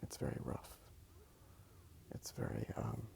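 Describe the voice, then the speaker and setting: A man speaking softly in a casual, rambling ASMR style as he draws. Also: unhurried. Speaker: ASMR video creator. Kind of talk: whispered ASMR rambling while drawing.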